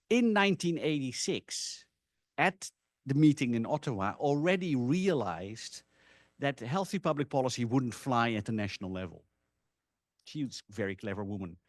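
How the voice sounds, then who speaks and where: A man who is a non-native English speaker, giving an informal academic presentation in an animated storytelling manner with rhetorical asides. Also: medium pitch, no echo. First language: not English (English is a third language).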